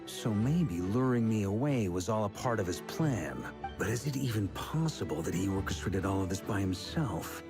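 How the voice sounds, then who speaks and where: Guy voicing easygoing middle-aged knight leader in a video game, lowish lively voice, measured and reassuring. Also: over music, natural voice. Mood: pondering, resolute. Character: seasoned easygoing male knight commander, middle-aged, steady.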